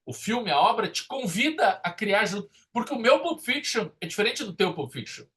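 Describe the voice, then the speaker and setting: A man's voice: high voice, big pitch swings, moderate pace, bright, forceful tone. A man with a southern Brazilian accent hosting a casual film discussion, speaking in an emphatic, discursive way with frequent checking tags. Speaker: podcast host.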